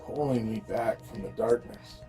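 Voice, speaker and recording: A man playing a wounded, exhausted survivor of a zombie horde, narrating a first-person horror audio drama in a dramatic, halting way. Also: medium pitch, mellow, faint music behind, close to an everyday voice. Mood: fading, weary. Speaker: amateur voice actor.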